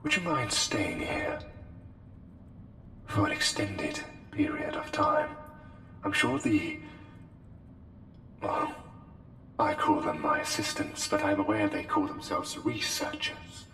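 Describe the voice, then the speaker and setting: A man voicing an otherworldly, courtly plague doctor in an intimate ASMR roleplay, speaking formally and deliberately with hesitant pauses. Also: on the low side, very animated. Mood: intent, reassuring. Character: courtly, intense male plague doctor, an otherworldly anomalous being. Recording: echoey hall reverb, music behind. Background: music.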